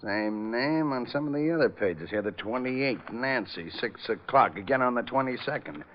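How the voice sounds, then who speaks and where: An American actor playing a gruff, long-suffering police lieutenant in a vintage radio drama. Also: male voice, mid-range voice, animated, normal speed, lightly acted. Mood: businesslike. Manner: grumpy, exasperated. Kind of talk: 1940s-50s radio drama acting. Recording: dull vintage radio recording.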